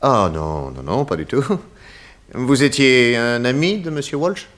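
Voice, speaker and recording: A man's voice: medium pitch, animated, measured pace, a bit bright. A man playing a shrewd, probing police detective with a wry, insistent manner in a 1960s French radio drama. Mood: businesslike, probing. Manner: inquisitive, wry, casually insistent.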